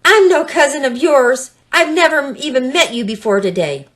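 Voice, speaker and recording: A woman's voice: high-pitched, expressive, normal speed, a bit bright, voice pushed up. A woman voicing a small, earnest male skink, shy but indignant, exclaiming and protesting in a storybook tale.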